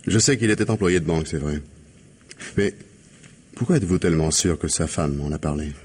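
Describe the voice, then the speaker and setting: A probing police inspector in a radio mystery. His voice sits very low with a husky grain. He questions with gallant irony and sly insinuation. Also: fairly quick, darkish tone. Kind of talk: French radio mystery drama acting.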